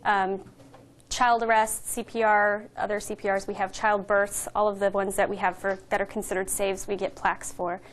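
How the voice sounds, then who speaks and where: A woman's voice: medium pitch, little pitch movement, normal speed. A woman working as an emergency dispatcher, explaining things in plain-spoken, emphatic, unscripted talk. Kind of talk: unscripted interview talk, explaining her job.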